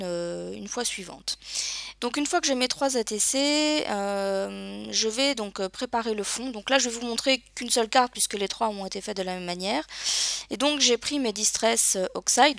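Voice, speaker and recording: A woman's voice: medium pitch, gravelly, fairly bright tone, dry recording. A woman narrating a crafting tutorial over her video, speaking hesitantly with many filler sounds. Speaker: crafting channel host.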